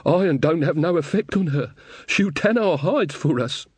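A man's voice: on the high side, animated, moderate pace, a little mellow, slightly rough, no room sound, pitched above the performer's own voice. Man doing a whining, fearful village man. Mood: fearful moaning. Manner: wailing, moaning, ungrammatical rustic speech. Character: Carter, whining, fearful, tearful village folk dancer.

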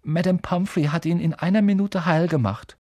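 A man's voice: on the high side, moderate pace, dark tone, dry recording, clean studio sound, pitched above the performer's own voice, close to an everyday voice. A man voicing a timid, anxious young schoolboy in a storybook reading, plaintive and pleading. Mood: eager.

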